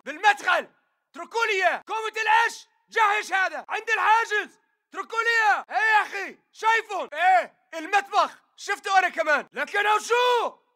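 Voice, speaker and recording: A man's voice: very high-pitched, sharp tone, exaggerated voice. A man with a Levantine Arabic accent playing an aggressive militant fighter in a video game, barking urgent, clipped combat callouts. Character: aggressive, alert male militant fighter in battle.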